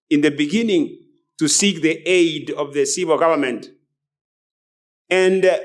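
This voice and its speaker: A man delivers a sermon at a very slow, measured pace. His voice sits a little high, and he lectures in a halting, deliberate way, circling back to repeat key phrases for emphasis. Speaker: preacher, ministry host.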